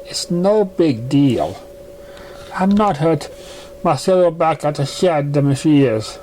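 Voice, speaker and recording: A man's voice: fairly high pitch, lively intonation, slow, dark tone, slight background noise. A man voicing a casual young male dragon who shrugs off concern in an offhand way, in a read-aloud story. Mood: dismissive.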